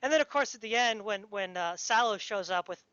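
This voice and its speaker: A woman's voice: mid-range voice, expressive, brisk, fairly bright tone, clear, resonant tone, dry recording, dull audio. A woman chatting casually as a podcast interviewer, talking in a rambling, enthusiastic way like a fan.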